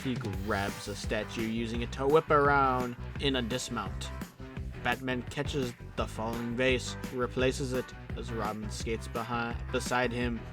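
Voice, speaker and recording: A man's voice: medium pitch, steady tone, a little slow. A man narrating scripted superhero action dramatically as the narrator of an audio drama. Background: music.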